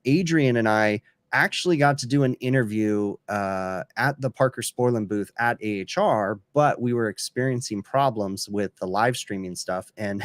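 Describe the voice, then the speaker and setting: A man of about forty hosting a casual livestream chat, halting and full of fillers. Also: on the low side, no room sound. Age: 40s. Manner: chatty, halting, many fillers. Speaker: livestream host.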